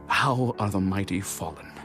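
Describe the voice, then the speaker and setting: A man's voice: lowish voice, moderate pace, lightly acted. Solemn biblical king played by a man, music behind. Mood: grieving. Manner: solemn, declamatory. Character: grieving, regal biblical king David, solemn and earnest. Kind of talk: dramatized scripture acting.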